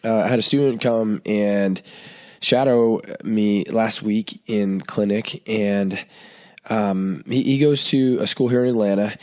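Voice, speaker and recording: A man's voice: fairly low pitch, fairly even intonation, a little slow, darkish tone. Chatty man with a head cold. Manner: chatty, loose, many fillers and restarts. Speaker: podcast host. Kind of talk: casual podcast talk.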